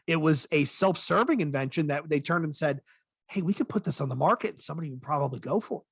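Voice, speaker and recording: Male podcast host, conversational and self-correcting, raspy edge, very dry studio-like sound. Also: on the high side, lively intonation, quick pace, dry recording. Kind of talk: casual podcast discussion.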